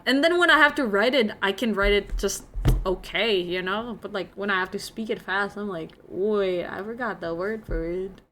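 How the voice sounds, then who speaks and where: A woman's voice: mid-range voice, sharp tone. Young indonesian woman streamer, chatty and giggly, lots of "like", faint hiss behind. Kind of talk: casual chat with viewers.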